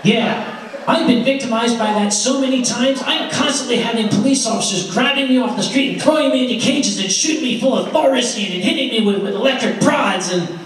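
A man's voice: very high voice, a little fast. A man telling a comic story to a live audience in a large lecture hall and acting out the voices in it, heard through a handheld mic from far off. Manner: animated, acts out the voices in his story. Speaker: convention storyteller. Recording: echoey hall from far off, noisy.

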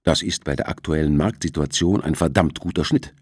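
A man's voice: a bass voice, a little fast, darkish tone, slightly rough, no room sound, studio quality. A man voicing a senior shipping executive in an audiobook, soothing and placating but uneasy. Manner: placating businessman.

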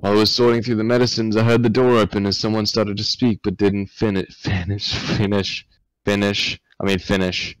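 A man's voice: lowish voice, flat delivery, dry recording, poor audio. A man on voice chat, joking bluntly and swearing while playing a game with friends. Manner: blunt, cursing, slow-spoken. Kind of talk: casual gaming chat.

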